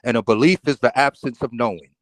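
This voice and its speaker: A man's voice: medium pitch, quick pace, no echo, rough recording. A man speaking in a live group discussion, preachy and emphatic, often tagging "okay?". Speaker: participant.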